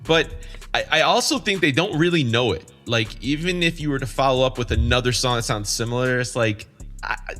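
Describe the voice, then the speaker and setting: A man with a bright, forward voice hosts a relaxed DJ podcast conversation. He talks in a slangy, inquisitive way, with music faintly behind him. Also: medium pitch, a little fast. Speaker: podcast host and DJ. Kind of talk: casual chat among DJ friends.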